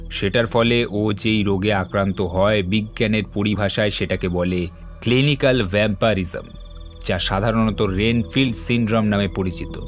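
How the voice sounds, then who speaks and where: Guy acting as sharp calm detective, lowish voice, measured n sure of himself, audio story w faint music. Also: close to an everyday voice. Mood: grave, explaining. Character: composed, shrewd male detective explaining a case. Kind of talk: dramatic detective monologue in an audio drama.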